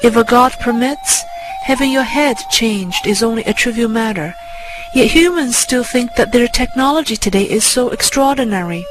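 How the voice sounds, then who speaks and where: Higher-pitched woman narrating over clear music. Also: poor audio. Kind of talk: scripted first-person spiritual story narration. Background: music under the voice.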